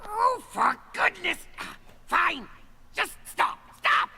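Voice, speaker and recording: Gravelly, bossy cartoon dog. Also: male voice, extremely high pitch, sing-song, extremely slow, sharp tone, heavily acted. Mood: bossy, impatient. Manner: barking orders in short blunt phrases. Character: bossy, exasperated, dim diamond-mining dog henchman.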